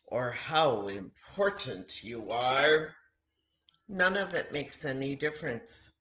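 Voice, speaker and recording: Older woman, 60s, children's storyteller; low register, deliberate and pausing, roomy home webcam sound. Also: expressive, a bit bright, highs cut off. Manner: simple, deliberate phrasing with frequent pauses. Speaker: children's story time host at a church. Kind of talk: storytelling to children, reading aloud. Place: home kitchen, indoors.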